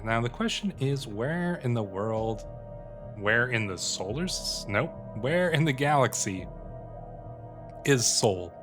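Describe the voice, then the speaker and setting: A man hosting a gaming video with casual, running commentary on his play. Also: on the low side. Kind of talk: casual gameplay commentary with read-aloud in-game text. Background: music.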